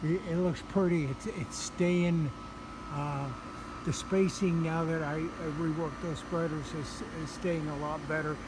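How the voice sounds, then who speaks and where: A man giving a casual, unscripted show-and-tell update outdoors, speaking haltingly and repeating words, recorded on a handheld camera. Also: fairly high pitch, steady tone, noisy background. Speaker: hobbyist video presenter. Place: outdoors in a residential yard. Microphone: handheld camera microphone.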